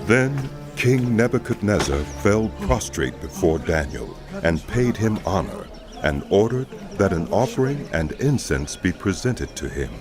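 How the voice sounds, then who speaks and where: A man's voice: lowish voice, big pitch swings, measured pace, music in the background. A man narrating a dramatized audio Bible as a scripted reading.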